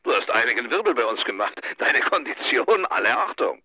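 An actor playing a suave, jovially condescending boss speaking through a telephone filter in a radio drama. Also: male voice, mid-range voice, very animated, a little fast, pushed and bright, slightly rough, no echo, heavily acted. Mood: mocking joviality. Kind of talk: crime radio drama dialogue. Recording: dull, thin telephone sound.

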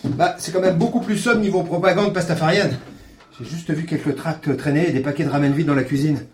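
An actor playing a tense, no-nonsense police detective in naturalistic audio fiction, terse and commanding. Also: male voice, a little high, brisk, lightly acted. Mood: businesslike. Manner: terse, commanding, casual swearing. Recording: roomy echo, music behind.

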